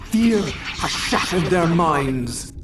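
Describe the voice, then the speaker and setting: Slow, low alien insect queen. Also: distant mic, background noise. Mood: mournful. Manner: slow, stilted, flat, poetic, otherworldly phrasing. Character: solemn alien insect queen speaking through a dying thrall. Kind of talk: video game cutscene acting.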